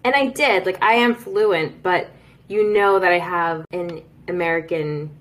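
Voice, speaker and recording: A woman's voice: fairly low pitch, measured pace. A woman hosting a podcast, chatting frankly and telling personal stories. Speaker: podcast host. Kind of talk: casual conversation.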